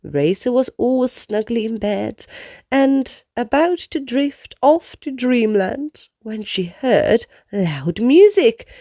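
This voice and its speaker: A woman reading a children's picture book aloud in an expressive storytelling style. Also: fairly high pitch, slow pace, no room sound, studio quality.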